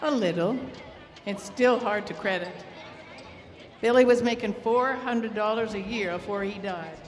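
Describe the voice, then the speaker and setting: A woman's voice: medium pitch, a little slow, close to an everyday voice. A woman playing a plain-spoken older widow with folksy, rustic turns of phrase in an old-time radio drama. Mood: wry, warm. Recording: reverberant hall, clear background noise.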